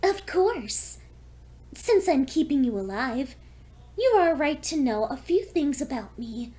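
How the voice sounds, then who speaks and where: A woman's voice: high-pitched, very animated, slow pace, warm tone, pitched above the performer's own voice, full character voice. A woman voicing a seductive, lonely vampire pony with a courteous, teasing manner in a fan fiction audio story. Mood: coolly predatory.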